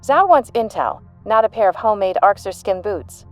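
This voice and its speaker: An actress as female army general, mid-pitched, shrewd, unflappable, dry authority, sci-fi audio drama, faint music behind. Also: big pitch swings, normal speed, lightly acted. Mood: stern.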